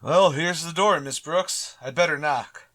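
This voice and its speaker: A man plays a mild and naive male teacher in a radio comedy. He is polite and earnest, with a slightly high, bright voice. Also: measured pace. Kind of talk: old-time radio sitcom acting.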